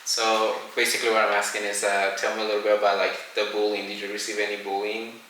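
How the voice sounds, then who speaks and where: Male podcast host, easygoing and chatty, lower pitch, echoing room with a faint hiss. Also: level tone, quick pace, bright, forceful tone. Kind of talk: casual interview chat. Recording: echoey room, mic at a distance.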